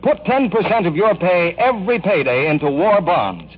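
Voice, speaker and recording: Animated vintage male radio announcer. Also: high voice, normal speed, slightly rough. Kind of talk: scripted 1940s war bond appeal. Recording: muffled, hissy old radio broadcast.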